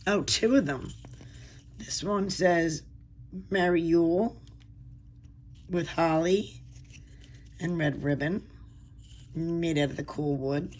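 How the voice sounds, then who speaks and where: A woman with a hoarse voice from a cold, hosting a casual unboxing video and gushing softly over pretty items. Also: fairly low pitch, slow, long pauses. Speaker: unboxing channel host.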